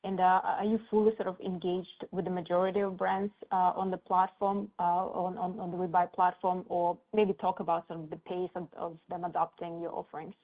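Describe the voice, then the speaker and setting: Slightly low-voiced woman on a phone line. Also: little pitch movement, brisk, few pauses. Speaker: financial analyst asking questions. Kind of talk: business Q&A on a call. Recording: thin muffled telephone line.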